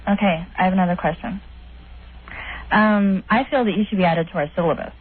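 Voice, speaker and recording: A woman's voice: mid-range voice, brisk, husky. Young woman caller on a dull phone line. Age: young adult. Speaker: phone-in caller, college student. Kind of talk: casual, unscripted call. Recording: dull, faintly noisy phone call.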